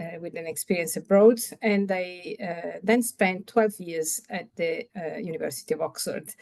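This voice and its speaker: A researcher from Italy speaks over a laptop mic on a video call, her voice low and gravelly. She talks with a hesitant flow, filling gaps with "uh" and "um" as she introduces her work. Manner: hesitant, many fillers. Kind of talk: presentation talk.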